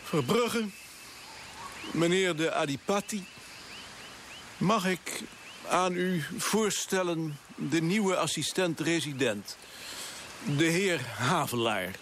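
An actor playing a formal senior colonial official in a radio drama, with a hesitant manner. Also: male voice, fairly high pitch, animated, takes it slowly, long pauses, fairly bright tone, slight background noise. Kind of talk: Dutch radio drama acting.

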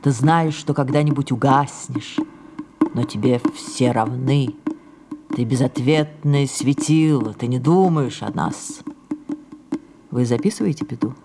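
A woman's voice: extremely low pitch, measured pace, background noise. An older woman playing a self-absorbed, imperious and wistful ageing stage actress in an audio play, with theatrical delivery. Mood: hushed, brooding. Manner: theatrical, declamatory, dramatic hushed passages. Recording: music behind the voice.

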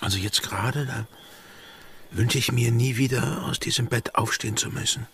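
An actor in a German radio drama playing an amorous pirate quartermaster, speaking intimately, tenderly and a little hesitantly. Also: male voice, medium pitch, steady tone, normal speed, fairly bright tone, slight background noise, lightly acted. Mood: tender, reassuring. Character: Jack, amorous, easygoing pirate quartermaster and devoted lover. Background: music.

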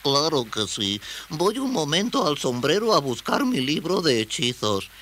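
Man as a lively little female magic spider. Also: a little high, unhurried, pitched above the performer's own voice, heavily acted. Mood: helpful, searching. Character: small magic spider, female, sardonic, bungling spellcaster.

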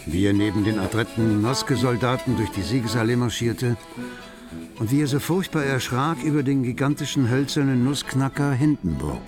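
A man's voice: medium pitch, moderate pace, close to an everyday voice. Articulate actor as a wry male narrator over music. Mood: wry, measured. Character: wry male narrator of a bohemian Berlin tale. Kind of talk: literary radio play narration. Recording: music behind the voice.

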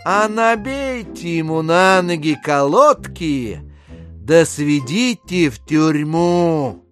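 An actor playing a sly, self-amused gentleman schemer who muses aloud theatrically in an audio drama. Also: a little high, lively intonation, slow pace, faint music behind. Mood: amused, imaginative.

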